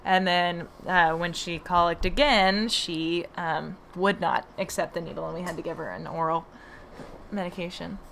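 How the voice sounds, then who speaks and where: A woman's voice: fairly low pitch, steady tone, moderate pace, gravelly, fairly bright tone, slight background noise. A woman hosting a podcast about her horses, talking casually with plenty of hesitant fillers. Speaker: podcast host and horse owner. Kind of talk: casual conversation.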